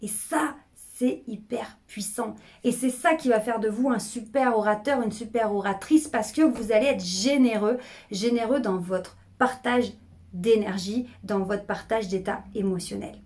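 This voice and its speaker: A middle-aged woman speaking as a public speaking coach, energetic and emphatic, often repeating phrases to drive a point home. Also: a little high, a little slow. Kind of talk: coaching video monologue.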